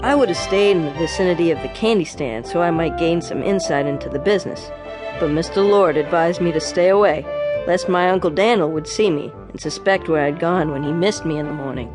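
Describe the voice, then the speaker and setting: A man's voice: a little high, fairly quick, music in the background, natural voice. An actor playing an earnest, naive orphan country boy in an audio drama, talking plainly with rustic grammar. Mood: earnest, excited. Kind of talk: audio drama acting and first-person narration.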